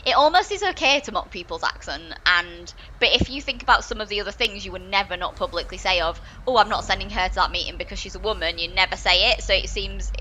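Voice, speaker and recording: A young woman with a soft Yorkshire accent, chatting earnestly on a podcast, circling back and restarting her thoughts as she goes. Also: on the low side, slight background noise, poor audio. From Yorkshire, England. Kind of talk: casual discussion.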